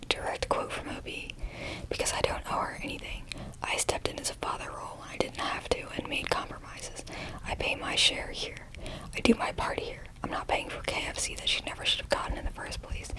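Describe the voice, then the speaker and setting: A woman whispering close to the mic as she reads posts aloud, with wry asides in between. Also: rough recording. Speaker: ASMR reader. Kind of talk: close-up whispered ASMR reading.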